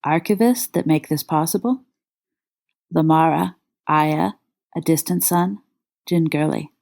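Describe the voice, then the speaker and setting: A woman with a fairly low voice reads a story aloud, wry and measured. Her delivery stays steady and unhurried. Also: creaky. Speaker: podfic reader. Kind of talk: reading credits and a story journal aloud.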